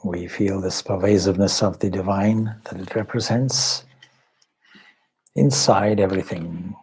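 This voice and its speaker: A man with a low, husky voice guides a meditation. He speaks very slowly and contemplatively, leaving long pauses between short phrases as a spiritual teacher does. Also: fairly even intonation, darkish tone, rough recording. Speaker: swami leading guided meditation.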